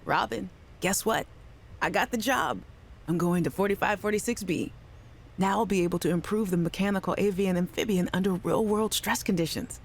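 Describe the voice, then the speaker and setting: An actress playing a cheerful, affectionate young scientist leaving voice messages for a little sister in a video game. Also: female voice, medium pitch, moderate pace, natural voice. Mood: excited, affectionate. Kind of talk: scripted audio message voice acting.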